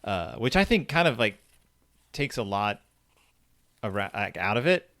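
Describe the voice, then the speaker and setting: Low-voiced man, dry close sound. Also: very animated, unhurried, fairly bright tone, dry recording. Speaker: podcast host. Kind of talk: casual discussion of a story.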